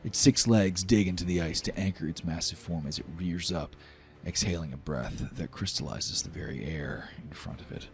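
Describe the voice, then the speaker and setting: A man's voice: sits low, moderate pace, soft background music. A man running a tabletop fantasy game, mixing dramatic storytelling with casual, sweary table talk. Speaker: game master.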